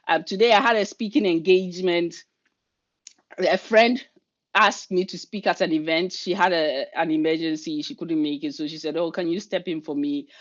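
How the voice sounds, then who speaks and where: A woman with a Ghanaian accent leads an online workshop, talking fast and energetically in a fairly low voice. Her sound comes through a video call and is muffled and lo-fi. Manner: fast, energetic, chatty delivery. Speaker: leadership coach and workshop leader.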